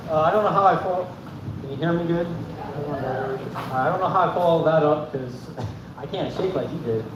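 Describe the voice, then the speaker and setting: A young man doing his first open-mic stand-up set, hesitant and halting, with many fillers. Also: on the high side, highs cut off. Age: young adult. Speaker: first-time comedian. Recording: echoey room from far off, noisy.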